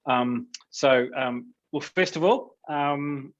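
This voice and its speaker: A man's voice: a little high, slow pace, dull audio. A man hosting a conference interview in a chatty, upbeat way with frequent restarts. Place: Hong Kong.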